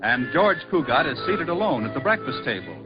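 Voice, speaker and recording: A man's voice: medium pitch, sing-song, a little fast, muffled recording. Genial old-time radio announcer man. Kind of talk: scripted radio narration. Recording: old radio broadcast over music.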